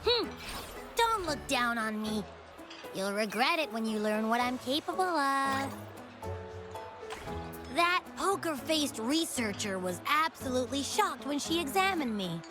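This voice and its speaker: A woman's voice: high voice, big pitch swings, measured pace. A woman voicing a tiny, proud, childlike female creature in an anime-style video game, boastful and huffy in a cute way. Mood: smug, boastful. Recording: loud game music behind. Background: game music.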